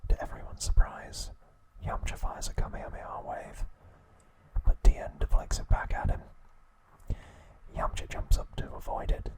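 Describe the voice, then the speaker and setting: A man narrating ASMR in a hushed, breathy whisper, in short measured phrases. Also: rough recording. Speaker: ASMR host. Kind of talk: whispered ASMR narration, recounting episode summaries.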